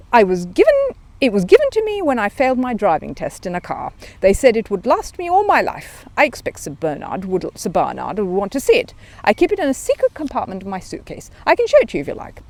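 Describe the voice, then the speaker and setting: Woman voicing an earnest, excitable little storybook bear. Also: mid-range voice, quick pace, voice pushed up. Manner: earnest, courteous, literal-minded. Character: polite, earnest, excitable young male bear.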